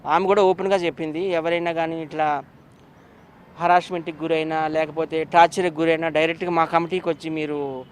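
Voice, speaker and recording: Fast, high-voiced man being interviewed. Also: level tone, slight background noise. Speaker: interview guest. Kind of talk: animated opinionated interview talk.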